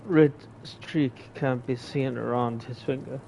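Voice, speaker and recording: A man playing a game, reading the on-screen text aloud in a slow, hesitant way and pausing to explain words. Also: mid-range voice, fairly even intonation, vocal fry, mellow, a little hiss or hum, rough recording. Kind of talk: casual gameplay commentary.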